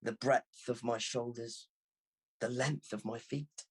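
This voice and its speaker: A man reading a first-person literary story aloud in an expressive, scripted narration. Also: mid-range voice, slow pace, breathy edge. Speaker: audiobook reader.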